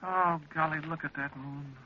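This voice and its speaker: A man's voice: a little high, steady tone, normal speed, close to an everyday voice. An actor in an old-time radio drama playing a wistful, love-struck little carnival man who stammers and talks in folksy slang. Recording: dull, muffled old radio recording.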